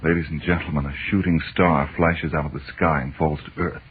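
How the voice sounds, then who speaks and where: A man hosting an old radio drama, narrating from a script in a solemn, dramatic, deliberate manner. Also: very deep voice, steady tone. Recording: tinny, hissy old radio recording.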